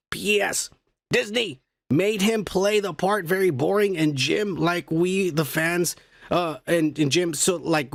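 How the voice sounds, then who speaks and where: A raspy man with a Mexican-American accent, talking loud and crude as he jokes with a co-host. His voice sits high and has a gravelly edge. The sound is close and dry. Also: dry recording. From United States. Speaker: podcast co-host. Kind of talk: casual comedic banter.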